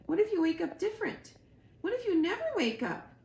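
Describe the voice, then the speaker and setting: A woman's voice: very high-pitched, sing-song, brisk, gravelly, a little mellow, roomy sound, voice pushed up, exaggerated voice. A woman voicing an anxious, curious young male gosling in an eager, squawky storybook voice. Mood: alarmed.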